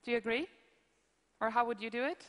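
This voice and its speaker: A Swedish-accented woman with a slightly high voice fields questions at a conference, pausing and restarting as she explains. Her voice rings through a big hall with a long reverb. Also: fairly bright tone. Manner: hesitant, explains with examples. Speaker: conference speaker answering questions. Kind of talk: live Q&A on stage.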